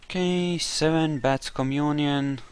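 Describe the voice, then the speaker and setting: Slow-paced man doing casual game commentary. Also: a little high, fairly even intonation, clear, resonant tone. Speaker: gamer narrating a playthrough. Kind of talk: casual let's play commentary, in-character roleplay.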